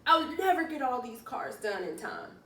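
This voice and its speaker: An earnest young male storybook cat, acted out by a woman, speaks in exclamations and is eager to please. The voice is on the high side and sits a little far from the mic, with faint noise behind it. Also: moderate pace, reverberant. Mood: discouraged. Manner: exclamatory, expressive. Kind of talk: children's storybook read-aloud.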